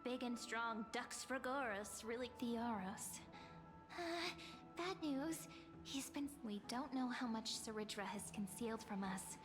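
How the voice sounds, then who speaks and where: An actress playing an observant, polite and gently playful young adventurer in a video game, speaking in a musing, conversational way. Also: female voice, fairly high pitch, animated, a little slow, over music. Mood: wry.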